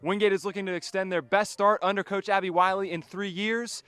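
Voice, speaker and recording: High-voiced young male sports reporter. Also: steady tone, a little fast, fairly bright tone, smooth, no echo. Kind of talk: prepared stand-up sports report.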